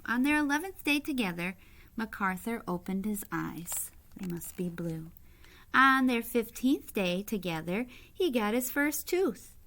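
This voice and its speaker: A woman with a mid-pitched voice reads a children's picture book aloud at a slow pace. She sounds like a teacher, stepping out of the text to explain things and to ask her young listeners simple questions. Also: fairly bright tone.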